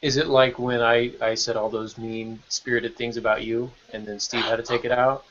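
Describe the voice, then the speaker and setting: A man's voice: medium pitch, flat delivery, moderate pace, a bit bright. A man hosting a podcast panel in informal, bantering chat over an online call. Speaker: podcast host. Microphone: online video call. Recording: dull, lo-fi call audio.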